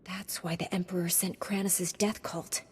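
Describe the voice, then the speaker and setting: An actress playing a calm, resolute female knight hero in a video game. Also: fairly low pitch, normal speed, slightly rough. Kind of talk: dramatic video game voice acting. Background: music behind the voice.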